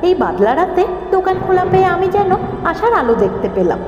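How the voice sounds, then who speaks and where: A woman's voice: extremely high pitch, big pitch swings, brisk, a little mellow. A woman voicing a timid, frightened schoolgirl who dramatically tells her ghost story in a cartoon. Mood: anxious, dramatic. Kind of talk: dramatic horror story narration. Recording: echoing, long reverb, muffled lo-fi, music. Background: music.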